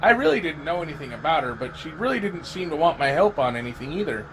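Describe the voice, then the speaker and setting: Audio drama lead: man playing haunted, lonely male survivor, slightly high-pitched, halting first-person narration, lightly noisy home recording. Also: big pitch swings. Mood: lonely, worried. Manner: halting, dramatic pauses, repeated words. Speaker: amateur voice actor.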